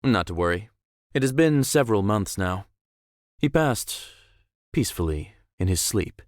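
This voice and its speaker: A man's voice: on the low side, expressive, measured pace, no room sound, clean studio sound. A man voicing a suave, self-assured young billionaire in a visual novel, with formal, polished and theatrical phrasing. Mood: composed, formal. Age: young adult. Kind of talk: visual novel character acting.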